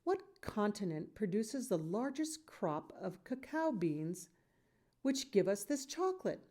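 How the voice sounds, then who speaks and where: A brisk, no-nonsense woman from a storybook, voiced by a woman reader. She coaches emphatically, firm and encouraging as she speaks. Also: mid-range voice, sing-song, warm tone. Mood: quizzing. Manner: emphatic, quizzing.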